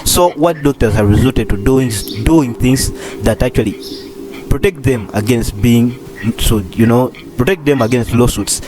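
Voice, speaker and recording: A husky-voiced young man in his twenties, a doctor in training hosting a casual vlog, rambling and repeating phrases with many fillers. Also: medium pitch, normal speed. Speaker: doctor in training, channel host. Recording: clear music playing under the voice.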